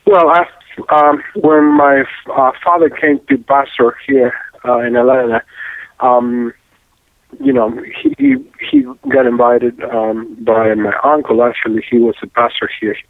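A man from Argentina, speaking as an interview guest, who talks haltingly with many "uh" and "um" fillers. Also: mid-range voice, dry recording. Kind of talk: casual testimony interview. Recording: dry tinny phone-like line.

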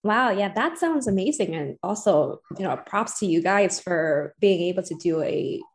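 Young woman, student podcast co-host, scripted announcements, mid pitch. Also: animated, normal speed. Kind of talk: scripted event announcements and interview questions.

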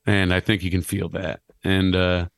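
A man in his thirties with a low, slightly raspy voice, chatting casually on a podcast as a guest, rambling and self-deprecating. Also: moderate pace, no echo, studio quality. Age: 30s. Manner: rambling, self-deprecating, animated when joking. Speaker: clown and musician guest.

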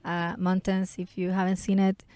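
A woman's voice: on the low side, completely flat delivery, moderate pace, dark tone, no echo. A woman with a Chilean Spanish accent, explaining casually and conversationally with little acted-out examples. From Santiago, Chile. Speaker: interview guest, healing practitioner.

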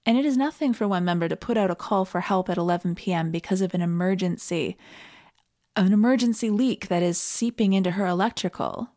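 Woman, Canadian accent, mid-range voice, earnest measured preacher, reflective scripted sermon delivery. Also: mid-range voice, dark tone.

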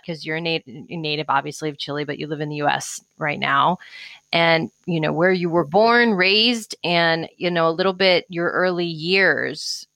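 A woman's voice: deep voice, a bit bright, no echo. A woman hosting an interview show, reading a scripted introduction and then chatting with enthusiasm. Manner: enthusiastic, gushing. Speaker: podcast host.

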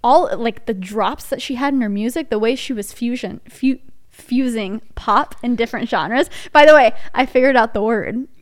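A woman's voice: on the high side, moderate pace. A young woman from Texas with a slight Southern accent, co-hosting a podcast in casual, rambling chat full of tangents. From Texas, USA. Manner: giggly, rambling, goes off on tangents. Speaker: podcast co-host.

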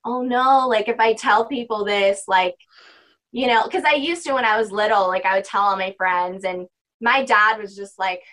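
A woman's voice: medium pitch, normal speed, fairly bright tone, poor audio. A young woman talking casually as a podcast guest, rambling with lots of filler words. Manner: rambling, heavy use of "like" and "you know". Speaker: podcast guest, spiritual practitioner. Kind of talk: casual interview chat.

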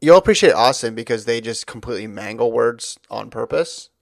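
Middle-aged podcast host, gravelly and dry, chatty and rambling. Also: male voice, mid-range voice, animated, brisk, no room sound. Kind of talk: casual chat.

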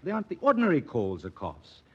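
An actor playing an earnest, dedicated young doctor in a mining town, with a hesitant, intense manner, in 1940s radio drama style. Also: male voice, mid-range voice, huge pitch swings, fast, breathy edge, heavily acted. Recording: muffled old radio recording.

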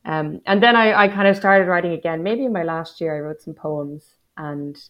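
A woman with a Dublin accent speaks in a fairly low voice. She is in her thirties, a poet giving a casual interview, and she talks about herself reflectively and with some self-deprecation, often stopping to search for words. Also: normal speed, muffled recording. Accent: Dublin Irish. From Ireland. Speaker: guest poet.

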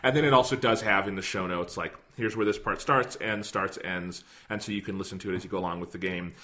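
Podcast co-host, male, a bit hoarse, bright forceful voice, rambling chatty delivery. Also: low-pitched, fairly even intonation, fast. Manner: rambling, self-deprecating, many fillers. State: slightly hoarse. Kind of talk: casual podcast chat.

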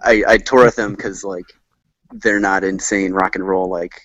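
A man's voice: sits low, fairly even intonation, moderate pace, fairly bright tone, no room sound, lo-fi sound. An American man in his thirties chatting casually as a podcast guest, rambling and self-deprecating as he talks. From rural southern Maryland, United States. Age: 30s. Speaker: podcast guest, touring musician and recording engineer. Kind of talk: casual chat.

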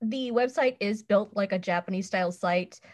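Female podcast co-host, medium pitch, casual conversational reviewing. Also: normal speed.

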